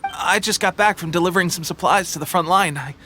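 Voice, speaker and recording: An actor playing an eager young resistance soldier in an anime game, friendly and putting on a brave face while unwell with a cold. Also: male voice, high-pitched, a little fast, pushed and bright. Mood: casual, brushing it off. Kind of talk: English anime game voice acting. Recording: music behind.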